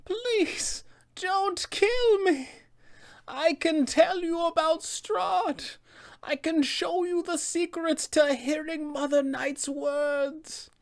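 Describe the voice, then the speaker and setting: A man voicing an ancient, fanatical witch from a fantasy roleplaying game, speaking in slow, theatrical, drawn-out phrases. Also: extremely high pitch, steady tone, pitched above the performer's own voice, full character voice. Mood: pleading. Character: ancient wicked witch, 400 years old, fanatically devoted, menacing.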